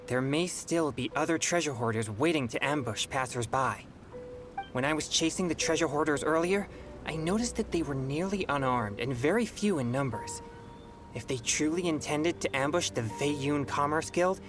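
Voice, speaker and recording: A man's voice: a little high, animated, normal speed, music in the background, close to an everyday voice. A man voicing an earnest, serious teenage boy in a video game, speaking in a straightforward way. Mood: serious, thoughtful. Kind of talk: English video game voice acting.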